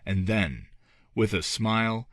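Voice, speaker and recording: A man reading a fantasy novel as a dramatic audiobook narrator, with measured, deliberate phrasing and dramatic pauses. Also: on the low side, lively intonation, fairly bright tone, no echo, clean studio sound.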